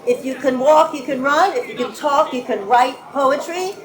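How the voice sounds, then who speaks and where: A woman from California reading her poetry live as a guest, with a brisk, chatty manner between lyrical lines. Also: sits high, low-quality recording. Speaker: guest poet. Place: bar venue, live audience.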